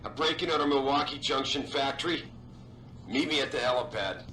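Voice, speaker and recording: An actor playing a brisk, authoritative male company boss in a video game. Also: mid-range voice, bright, forceful tone, roomy sound, close to an everyday voice. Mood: urgent, businesslike. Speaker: boss giving orders.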